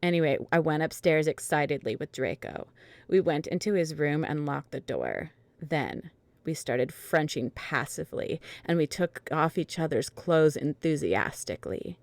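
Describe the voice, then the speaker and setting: A woman's voice: sits low, lively intonation, moderate pace, darkish tone, no room sound. A woman narrating a comedic dramatic reading of fan fiction.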